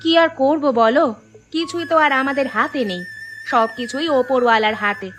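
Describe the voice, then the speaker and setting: A woman's voice: high voice, expressive, normal speed, a bit bright, faint music behind. A woman voicing a patient, reassuring village wife and mother in a cartoon, consoling and homely. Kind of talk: Bengali cartoon dialogue dubbing.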